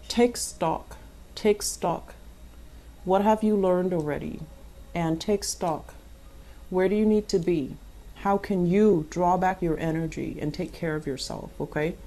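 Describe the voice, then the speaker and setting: A woman's voice: fairly low pitch, takes it slowly, dark tone. A woman giving a tarot reading, advising the listener earnestly and repeating phrases for emphasis. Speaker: tarot reader.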